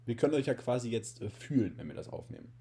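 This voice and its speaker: A man's voice: mid-range voice, sing-song, quick pace, warm tone. A young man in his twenties with a slight cold, hosting a podcast in a joking, rambling way and often repeating words. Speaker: podcast host. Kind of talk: casual chat.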